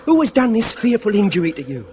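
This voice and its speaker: An actor playing a gallant, formal Victorian police detective with melodramatic resolve in a period radio comedy. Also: male voice, sits high, very animated, fast, background noise. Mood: urgent.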